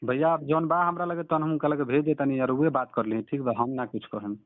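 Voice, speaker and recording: A man's voice: mid-range voice, fairly even intonation, brisk, no room sound. A man with a Bhojpuri accent in an informal phone conversation, explaining himself in a deferential way. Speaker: songwriter or singer. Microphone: phone call. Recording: muffled recorded phone call.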